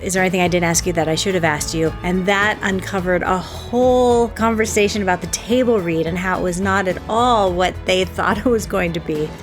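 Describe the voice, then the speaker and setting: A woman hosting a podcast, speaking in a conversational presenter style. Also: lowish voice. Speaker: podcast host. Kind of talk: host narration, ad reads and conversational interviewing.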